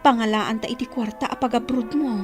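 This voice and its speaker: An actress playing an anxious, coaxing wife of a poor labourer in a radio drama. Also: female voice, a little high, fairly even intonation, a little fast, over loud music, close to an everyday voice. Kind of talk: radio drama acting in dialogue.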